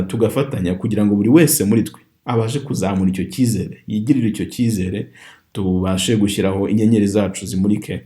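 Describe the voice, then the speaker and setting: Man from rwanda, native kinyarwanda speaker, low voice, mellow tone, patient teacher. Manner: reads phrases slowly and repeats them for learners. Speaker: language teacher. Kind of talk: online language lesson.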